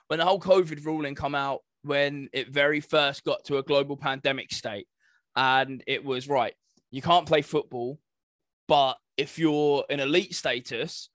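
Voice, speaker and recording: Higher-pitched young British man, very dry sound. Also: a bit bright, no echo. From United Kingdom. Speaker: podcast co-host. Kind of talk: casual podcast chat.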